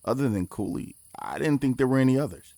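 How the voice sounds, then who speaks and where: A man playing a weary forty-four-year-old time leaper in an audio drama, reserved and low-key. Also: animated, fast, gravelly, warm tone, no room sound. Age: 40s. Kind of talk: naturalistic audio drama dialogue.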